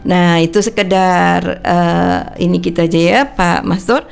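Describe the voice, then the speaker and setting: A woman with a gravelly, creaky edge to her voice co-hosts a casual radio chat. She swaps rhymed verses with her partner, laughs often and stops on small fillers as she goes. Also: on the low side, steady tone, a little slow. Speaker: radio co-host.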